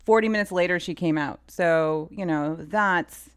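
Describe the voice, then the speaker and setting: Female interview guest, lowish smooth voice, informal anecdotal chat peppered with "like". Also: a little slow. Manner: animated storyteller, quoting dialogue, frequent "like". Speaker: interview guest, film assistant director.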